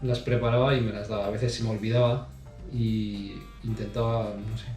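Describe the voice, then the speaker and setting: A man with a mellow, dark tone talks through his answers, pausing often and restarting his sentences. His voice has almost no rise and fall. He sits back from the microphone in an echoey room with faint music underneath. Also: fairly low pitch, flat delivery, far from the mic. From Spain. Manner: hesitant, many fillers and restarts. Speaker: interview guest. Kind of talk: casual interview answers. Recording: roomy sound from off mic.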